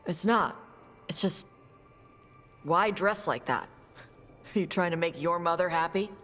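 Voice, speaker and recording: A woman voicing a blunt young dragon hunter who talks in short, gruff, dismissive lines in a game cutscene. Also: on the low side, expressive, unhurried, a bit bright, soft background music. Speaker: treasure hunter. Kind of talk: video game cutscene dialogue.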